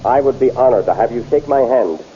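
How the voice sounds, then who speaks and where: An actor playing a stern, proud exiled prince in a 1930s radio serial, with commanding, formal speech, in a dull lo-fi old recording. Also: male voice, medium pitch, expressive, brisk, slight background noise, natural voice.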